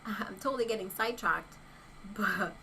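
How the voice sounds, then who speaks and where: A young woman chats on a livestream with a bright, forward voice, laughing often between rhetorical questions to her viewers. Her pitch sits in the middle for a woman, with a faint hiss of background noise under the talk. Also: normal speed, poor audio. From Haitian heritage. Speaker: lifestyle influencer livestreaming. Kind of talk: casual livestream chat reading comments.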